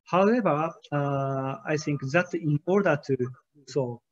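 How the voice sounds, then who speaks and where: A man's voice: fairly high pitch, measured pace. Man, hesitant keynote delivery. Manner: hesitant, frequent "uh" fillers, careful formal phrasing. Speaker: keynote speaker. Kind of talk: conference keynote presentation over slides. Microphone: webcam on a video call. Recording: slightly muffled video-call audio.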